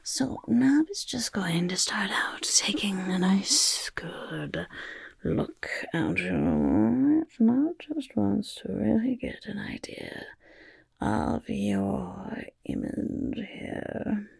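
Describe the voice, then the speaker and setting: A woman voicing a chaotic, eager little goblin overlord in a soft-spoken ASMR roleplay, with a creaky, raspy whisper and a fussy, repetitive manner. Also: mid-range voice, sing-song, drawn-out words, dry recording, full character voice. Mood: reassuring, inquisitive. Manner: speaks of self in third person, fussy, repeats words.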